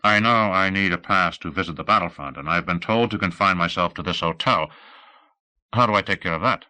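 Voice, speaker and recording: A man's voice: sits low, fairly quick, bright, forceful tone, no room sound, low-quality recording. A man voicing a small, cocky, still-growing photojournalist in an audiobook, with a dry, terse manner. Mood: dry, businesslike.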